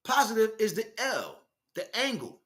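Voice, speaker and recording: A man's voice: high-pitched, wildly swooping pitch, normal speed, fairly bright tone. A man giving an impassioned, informal spiritual lecture in a blunt, emphatic street vernacular, often asking rhetorical questions. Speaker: spiritual teacher, speaker.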